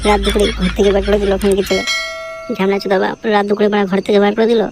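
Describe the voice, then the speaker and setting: A woman playing a crude, lovesick, hot-tempered young son in a comic cartoon, ranting quickly. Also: mid-range voice, monotone, background noise, lightly acted. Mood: angry, ranting. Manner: fast, ranting, crude swearing.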